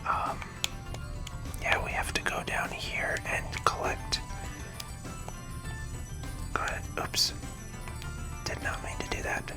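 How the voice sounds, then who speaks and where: Someone giving gentle, unhurried whispered ASMR commentary while playing a video game, talking through each move close to the microphone. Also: music in the background. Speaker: video game playthrough host.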